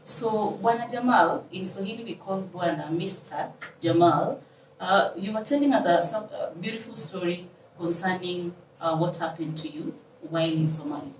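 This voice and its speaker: A woman's voice: fairly low pitch, normal speed. Woman hosting, echoey distant sound. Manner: formal, courteous, speaks in proverbs. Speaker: show host interviewer. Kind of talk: interview hosting. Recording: roomy, distant mic, faint noise.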